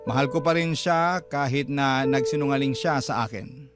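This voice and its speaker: A man's voice: medium pitch, normal speed. A man narrating a listener's love-story letter in the first person, in a heartfelt, scripted storytelling way. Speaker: radio drama narrator reading a listener's letter. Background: loud background music.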